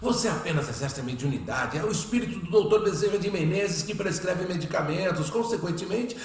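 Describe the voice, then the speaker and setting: A man's voice: high-pitched, brisk, close to an everyday voice. A man playing a protective, emphatic father of a grown son in a radio drama. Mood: dismayed protest. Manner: emphatic, declamatory. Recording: roomy echo, faint music behind.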